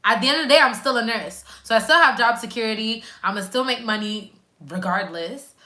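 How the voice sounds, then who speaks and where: A woman's voice: fairly high pitch, brisk, pushed and bright. A woman in her twenties, a nurse hosting a casual live stream, chatting animatedly and talking straight to her viewers while reading out their comments. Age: 20s. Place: at home on a couch.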